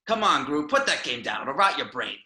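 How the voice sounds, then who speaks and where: Man playing a bright, cocky raccoon mercenary. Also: mid-range voice, brisk, echoey room, a little hiss or hum. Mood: bossy, sarcastic. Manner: sarcastic, bossy, excitable delivery. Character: cocky, sarcastic talking raccoon mercenary, male. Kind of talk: amateur table read of a film script.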